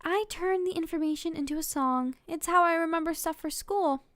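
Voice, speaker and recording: A woman voicing a sweet, bashful, brainy teenage schoolgirl in a read-aloud romance story, in an expressive way. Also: very high-pitched, fairly quick, dry recording. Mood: modest.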